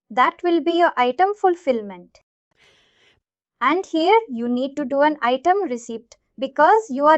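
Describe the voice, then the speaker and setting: A woman's voice: high voice, no room sound, dull audio. A woman trainer explaining a concept to learners step by step, repeating herself and checking that they follow. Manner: repetitive, checks understanding often. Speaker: software trainer.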